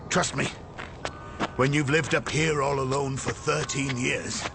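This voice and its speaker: An actor playing a frail, weary old man stranded in space, halting and emotional, in a radio drama. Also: mid-range voice, steady tone, background noise. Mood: wry. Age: older. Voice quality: creaky, breathy, coughing voice.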